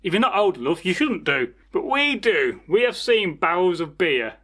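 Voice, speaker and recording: A high-voiced man hosts as a storyteller, spinning a folktale informally. He rambles chattily and often turns aside to address the listeners directly. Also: sing-song, moderate pace, a bit bright. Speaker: storyteller, channel host.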